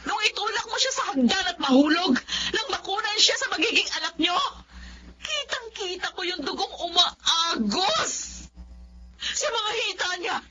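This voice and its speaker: High-voiced, earnest, guilt-ridden Filipino woman. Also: sing-song, normal speed, bright, forceful tone, full character voice. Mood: anguished, pleading. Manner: emotional, pleading, earnest. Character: earnest, guilt-ridden grown daughter and former mistress. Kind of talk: Tagalog radio drama acting. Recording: muffled audio with faint noise.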